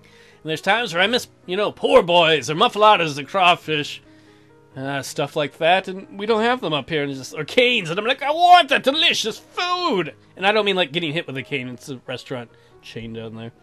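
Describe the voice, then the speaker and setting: Lively, joking male let's play host. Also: fairly high pitch, a little fast. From Louisiana, United States. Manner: joking, rambling. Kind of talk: casual comic game commentary. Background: music.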